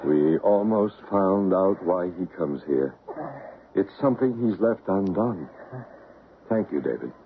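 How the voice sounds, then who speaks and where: A man's voice: low voice, expressive, measured pace. An actor playing an earnest, solemn man leading a séance in an old radio drama, intent and probing. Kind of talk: old-time radio drama acting and narration. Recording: narrow, noisy old radio recording.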